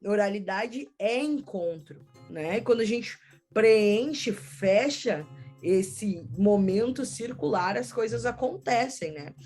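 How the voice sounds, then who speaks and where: Interview guest: woman, southern Brazilian accent, mid-pitched, conversational teacher explaining her work, music in the mix. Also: lively intonation, slow pace, darkish tone. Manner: explanatory, frequent "né" tags. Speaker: schoolteacher, storyteller and researcher, interview guest.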